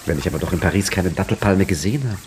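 An older actor playing an old caretaker and storyteller, dry and ironic, in a 1950s radio drama. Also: male voice, low-pitched, rapid-fire, a little mellow, a little hiss or hum, close to an everyday voice. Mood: wry teasing. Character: old male caretaker, wry wise storyteller. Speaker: caretaker telling a tale.

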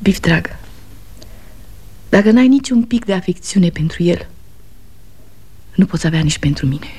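A woman's voice: fairly low pitch, lively intonation, a little mellow, breathy edge. An actress playing a weary, fiercely loyal aging wife and mother, pleading and reproachful, in 1960s radio theatre style. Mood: stern pleading.

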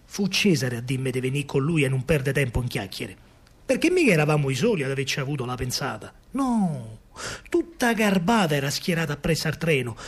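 An actor playing an excitable working-class man with a Roman accent and a husky voice, recounting wartime scavenging in a colloquial theatrical monologue. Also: a little high. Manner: animated storytelling, colloquial.

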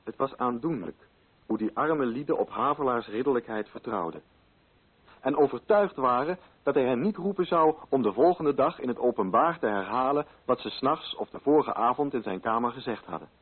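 An actor playing a sober literary narrator, telling a story in scripted radio drama style. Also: male voice, mid-range voice, lively intonation, normal speed, husky. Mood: sober, solemn. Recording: muffled old radio recording.

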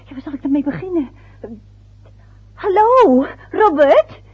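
High-pitched, flustered mother, tinny radio. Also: sing-song, a little slow. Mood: flustered, eager. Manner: flustered, hesitant, exclaiming. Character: flustered, sentimental mother phoning her faraway son's family. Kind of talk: radio play acting. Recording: tinny, narrow-band old radio recording.

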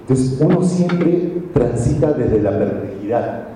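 A man's voice: mid-range voice, lively intonation, slow, dark tone, a little hiss or hum. A man giving a conference talk to an audience, telling stories in a reflective way and often ending with a questioning "right?". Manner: reflective, storytelling, frequent tag questions. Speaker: journalist and speaker. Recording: echoey hall from far off.

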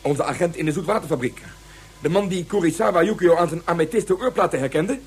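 A man's voice: on the high side, fast talker, slightly rough. A man in a radio play playing a ruthless space colonel with a clipped, commanding delivery. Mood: cold, commanding. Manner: clipped, authoritative military delivery.

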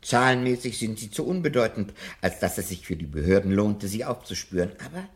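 An actor playing an elderly, learned professor, courteous and anxious, in a 1970s German radio drama. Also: male voice, lowish voice, animated, fairly quick, lightly acted. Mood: cautious, confiding. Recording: roomy, faintly noisy vintage radio recording.

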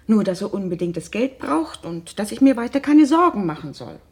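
A worried, wealthy mother in middle age in a radio play. Her voice is very husky and soft-edged, and she speaks with formal reserve. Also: mid-range voice, big pitch swings, brisk. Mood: worried, guarded. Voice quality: husky, breathy. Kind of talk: naturalistic German radio drama.

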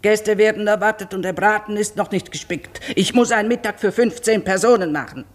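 An actress playing a pious, thrifty, unmarried older cook in a German radio play, brooding and curt. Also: female voice, medium pitch, fairly quick, lightly acted. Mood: curt, wary.

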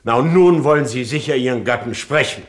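An actor playing a polite, faintly uneasy prison director in a radio satire, hesitant and dryly formal. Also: male voice, medium pitch, brisk, lightly acted. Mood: dryly amused. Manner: hesitant, dry, formally courteous. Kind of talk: radio crime satire acting.

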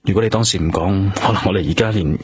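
An actor playing a male police detective in a radio drama, speaking Hong Kong Cantonese, calm and patient as he questions a witness. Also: low-pitched, quick pace, a little hiss or hum, close to an everyday voice.

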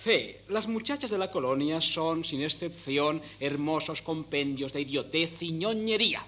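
An actor playing a bookish, disdainful young son in a Spanish radio comedy, with a Castilian accent and pedantic, clipped phrasing. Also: male voice, on the high side, lively intonation, a little slow, pushed and bright, full character voice. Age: young adult.

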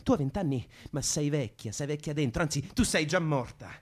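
Young lover, radio drama, higher-pitched, pleading, bitter, confrontational. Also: male voice, expressive, brisk. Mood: bitter, urgent. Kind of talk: Italian radio drama acting.

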